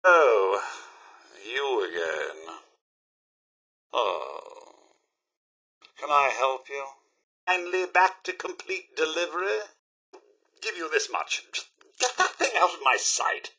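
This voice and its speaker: A man's voice: mid-range voice, lively intonation, takes it slowly, long pauses, sharp tone, slightly rough, full character voice. A man with a British accent voicing a prim, fussy robot butler in a video game, polite and sardonic, with theatrical, formal delivery. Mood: disdainful, dry. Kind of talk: video game character voice lines.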